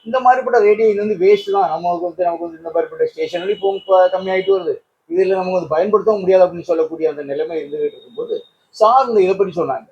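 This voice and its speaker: Fast, high-voiced man, slightly dull recording. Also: darkish tone. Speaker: guest, collector of vintage radios. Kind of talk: informal testimonial talk. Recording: dull sound with faint background noise.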